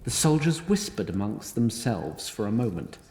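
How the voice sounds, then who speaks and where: A man narrating a fantasy audio drama from a script in a measured, dryly told storytelling style. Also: on the low side, very animated, warm tone, a little hiss or hum. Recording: echoey, music behind.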